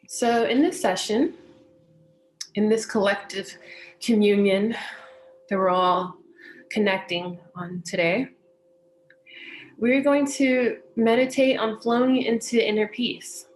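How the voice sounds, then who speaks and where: American lady meditation teacher, medium pitch, gentle unhurried way of talking, kinda spiritual. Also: a little hiss or hum, poor audio. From California, United States. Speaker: meditation teacher and session host. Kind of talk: welcoming introduction to a guided meditation, personal talk.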